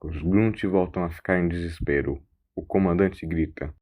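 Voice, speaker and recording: A man's voice: low-pitched, moderate pace, a little mellow. A man narrating a scripted science-fiction audio drama as its storyteller.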